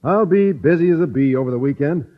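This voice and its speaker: An actor playing a naive, kindly high school teacher, polite and guileless, in 1950s radio sitcom style. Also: male voice, fast, natural voice. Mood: eager. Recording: dull vintage radio recording.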